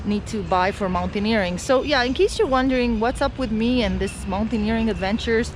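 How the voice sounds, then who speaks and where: A woman's voice: fairly high pitch, brisk. A middle-aged woman from Romania vlogs casually while walking down a city street, rambling with many fillers and restarts. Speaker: travel vlogger. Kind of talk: casual walk-and-talk vlog. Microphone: handheld camera while walking. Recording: outdoor street noise and traffic.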